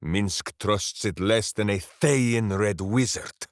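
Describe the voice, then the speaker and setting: An actor voicing a big, simple-minded, hearty berserker hero in a fantasy video game, declaiming with bombastic earnestness. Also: male voice, fairly low pitch, slow pace, no room sound, studio quality. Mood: earnest, boastful. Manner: bombastic, earnest, grandly declaiming, speaks of himself by name. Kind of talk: theatrical fantasy video game character acting.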